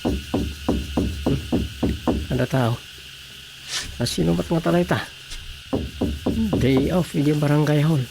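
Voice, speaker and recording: A man's voice: fairly high pitch, slow pace, vocal fry, long pauses, over music, low-quality recording. A man acting as an easygoing village councilman in a radio drama comedy, muttering with hesitant interjections. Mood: musing. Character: male village councilman, casual, muttering, easygoing local official.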